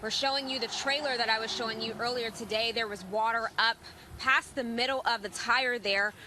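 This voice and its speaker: A woman's voice: on the high side, fairly even intonation, brisk. Woman reporter in noisy outdoors. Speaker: TV news field reporter. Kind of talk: live on-scene news report. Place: outdoors by a road. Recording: clear outdoor background noise.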